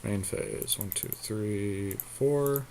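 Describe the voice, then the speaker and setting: Male gaming podcast co-host, fairly low pitch, conversational, thinks aloud while commentating play. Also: drawn-out words, dark tone, slight background noise, poor audio. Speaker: podcast co-host commenting on a card game match.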